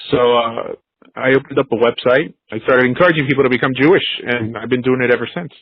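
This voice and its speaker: A man's voice: medium pitch, fast talker, dry recording. Man, forties, casual storyteller, dead dry, a bit dull. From United States. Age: 40s. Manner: relaxed, chatty, storytelling. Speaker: host. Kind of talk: casual conversation.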